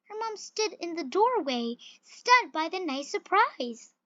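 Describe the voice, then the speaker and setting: Very high, bright young-sounding storybook reader. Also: very animated, a little slow, no room sound, muffled recording. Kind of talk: children's picture book read aloud.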